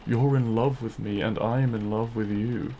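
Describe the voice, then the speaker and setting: A man's voice: fairly low pitch, fairly even intonation, normal speed, dark tone, a little hiss or hum, close to an everyday voice, poor audio. A man playing a smug, possessive demon king in an audio roleplay, speaking to the listener in a silky, theatrical, courtly yet menacing manner. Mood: pleading, ardent.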